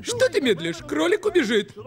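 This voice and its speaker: A man putting on a squeaky, excitable cartoon sidekick voice, urgent and fussy. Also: normal speed, full character voice. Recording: music bed.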